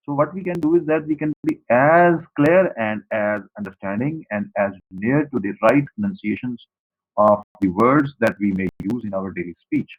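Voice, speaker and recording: A man's voice: medium pitch, moderate pace. Pakistani man lecturing over dull audio. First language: Saraiki. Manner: repetitive, explanatory, addresses students directly. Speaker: university lecturer. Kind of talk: online class lecture.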